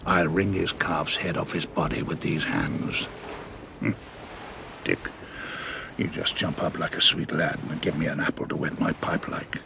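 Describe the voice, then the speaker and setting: An actor playing a cunning, menacing pirate ringleader with a falsely genteel manner and old seafaring dialect in an audio drama. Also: male voice, deep voice, heavily acted. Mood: vicious. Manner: old seafaring dialect, wheedling and threatening by turns. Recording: steady clear background noise.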